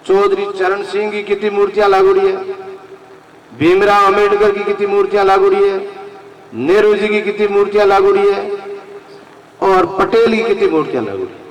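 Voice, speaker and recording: High-pitched man making a far-off public speech. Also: fairly quick, long pauses, a little hiss or hum. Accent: Rajasthani. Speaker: community association leader addressing a gathering. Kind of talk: public speech to a community gathering. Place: large fair gathering. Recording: distant microphone amid an open crowd.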